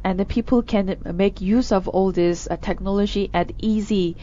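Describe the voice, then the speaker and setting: A woman's voice: medium pitch, fairly even intonation, dark tone, slight background noise. Korean-accented woman cohost, lots of uh's and restarts, bit lo-fi. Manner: halting, with many fillers and restarts. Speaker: co-host of a news discussion show. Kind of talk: casual news discussion.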